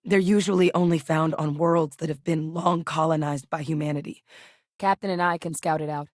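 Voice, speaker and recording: An actress voicing a capable, guarded military scientist in a video game, speaking in a measured, earnest, matter-of-fact way. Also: female voice, sits low, fairly even intonation, darkish tone, dry recording, clean studio sound, natural voice. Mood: measured, businesslike. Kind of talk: video game character voice lines.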